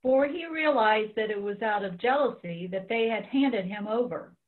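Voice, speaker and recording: A woman's voice: mid-range voice, normal speed, narrow, tinny audio. A woman giving a dramatic scripture reading, heard through a thin, band-limited recording. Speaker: church reader.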